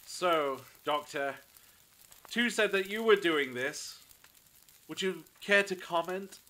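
A man's voice: high voice, lively intonation, slow pace, sharp tone, heavily acted, poor audio. A man playing a suspicious, coldly insistent interrogator in an audio drama, pointed and sardonic. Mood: coolly sardonic.

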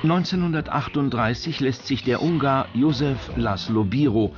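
A man's voice: medium pitch, moderate pace, muffled recording. Male narrator for scripted documentary, mid-range voice, music underneath.

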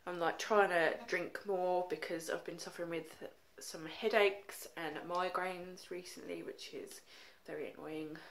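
Slow-talking snuffly woman vlogger. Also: lowish voice, fairly even intonation, fairly bright tone, poor audio. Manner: rambling, chatty, lots of ums and likes. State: congested with a cold. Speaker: makeup vlogger. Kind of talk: casual chatty vlog. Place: home living room, indoors. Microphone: phone held at arm's length.

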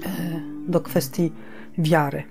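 Woman, slightly low voice, podcast co-host, conversational, hesitant and rambling, faint background music. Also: little pitch movement, slow, gravelly. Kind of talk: casual conversational podcast discussion.

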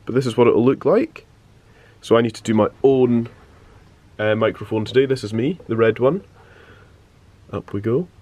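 A man's voice: moderate pace, darkish tone. A man in his twenties, a radio presenter, chatting casually to camera with wry, understated asides. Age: 20s. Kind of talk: casual vlog chat to camera. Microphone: handheld vlog camera. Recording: noisy handheld camera audio, background rumble.

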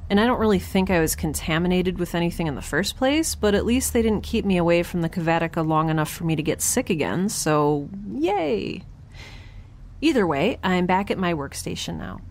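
A woman's voice: fairly low pitch, expressive, moderate pace, a little hiss or hum. A woman playing a quirky young scientist in space, recording a chatty, wry spoken log for an audio drama. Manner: chatty, wry, self-interrupting, informal.

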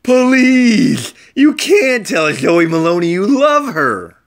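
A storyteller voicing a bossy, scornful paper Valentine heart in a loud, dramatic storybook style. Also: medium pitch, big pitch swings, slow, dry recording, clean studio sound, pitched above the performer's own voice. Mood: pleading, scornful.

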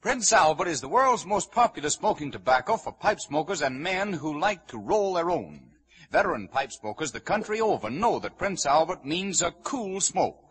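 A man's voice: fairly high pitch, very animated, moderate pace, fairly bright tone, breathy edge. An American man announcing in polished, promotional 1940s radio advertising style. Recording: vintage 1940 radio broadcast.

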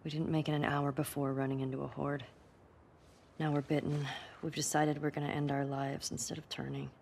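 Over clear background ambience comes a young American woman survivor in a cinematic game. Her voice is notably low for a woman. She is guarded and hurt, speaking with quiet, hesitant earnestness. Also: little pitch movement, a little fast, slight background noise. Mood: somber reading aloud. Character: wary, wounded young woman survivor pressing for the truth. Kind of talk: naturalistic cinematic game acting.

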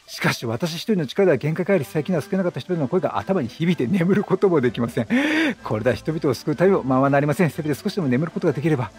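A man's voice: on the high side, lively intonation, very fast, few pauses, darkish tone, lightly acted. A man voicing an earnest, troubled traveling priest from a video game in polite, solemn phrases.

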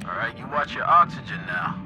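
An actor playing a steady, watchful male salvage boss in a video game, giving businesslike check-ins to a diver. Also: medium pitch, fairly quick, highs cut off, over loud music, lightly acted. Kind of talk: naturalistic video game voice acting. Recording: clear boat and water noise behind.

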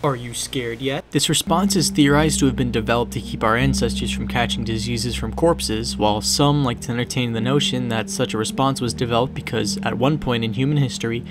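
An American man narrates a video essay from a script. His voice sits at a medium pitch, and music plays clearly behind him throughout. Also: a little fast, hardly any pauses. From Wisconsin, United States. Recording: music under the voice. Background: background music.

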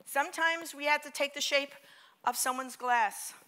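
A middle-aged woman with a New York accent giving a lively motivational talk on a theater stage, drawing the audience into call-and-response. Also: high voice, normal speed. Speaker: keynote speaker.

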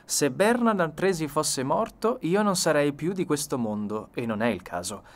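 A man voicing a suave, self-assured, evasive young gentleman thief in an audiobook. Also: fairly high pitch, animated, normal speed, pitched above the performer's own voice. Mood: defiant.

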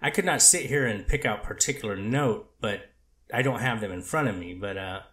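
A man reading the part of a treatment counselor testifying in court, hedging and halting with many restarts. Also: lowish voice, lively intonation, a bit bright, echoey room. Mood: measured. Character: earnest male counselor on the witness stand. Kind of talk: amateur readers theater reading of a court transcript.

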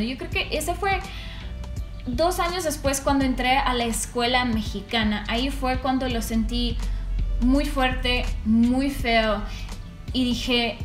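Animated Russian-accented young woman, high voice. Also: reverberant. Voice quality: animated, gestures widely with her hands. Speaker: vlogger. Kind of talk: casual Q&A vlog. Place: small room indoors.

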